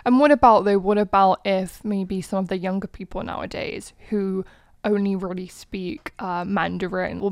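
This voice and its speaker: A woman interviewer asking measured questions and murmuring as she listens. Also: mid-range voice, creaky, no echo, studio quality.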